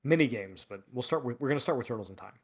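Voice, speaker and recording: Man, podcast co-host, rambling, filler-heavy casual chat, mid pitch. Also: lively intonation, rapid-fire. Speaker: editor-in-chief, podcast co-host.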